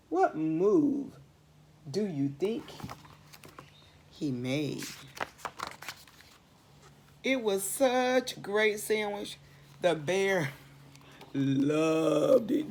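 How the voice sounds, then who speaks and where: A woman's voice: medium pitch, a little mellow. A woman reading aloud to children expressively, asking the listeners questions and repeating phrases for dramatic emphasis. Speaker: storybook read-aloud reader.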